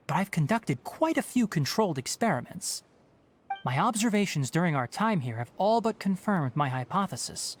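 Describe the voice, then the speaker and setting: A man voicing a young fox-eared forest ranger and scholar in a video game, precise and a little curt, explaining things in a clipped, matter-of-fact, lecturing way. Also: on the high side. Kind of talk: video game cutscene dialogue.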